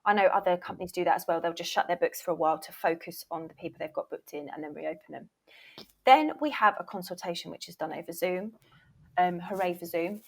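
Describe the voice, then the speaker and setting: A woman's voice: fairly low pitch, fast, creaky. Candid, chatty woman explaining her trade. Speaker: voice reel producer, interview guest.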